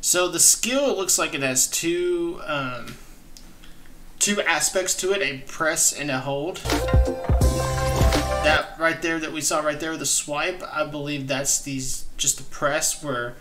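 A young adult man hosting a gaming reaction, talking casually and enthusiastically in halting phrases, close to the mic. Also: on the high side. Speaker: gaming channel host. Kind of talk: reaction commentary. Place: small indoor streaming room. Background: trailer music at times.

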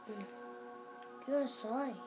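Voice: mid-range voice, takes it slowly, a little mellow, faint music behind. A child improvising role-play commentary while playing a video game, hesitant and coaxing, recorded in a living room in front of a TV. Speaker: player making a game video. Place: living room, filming a TV screen.

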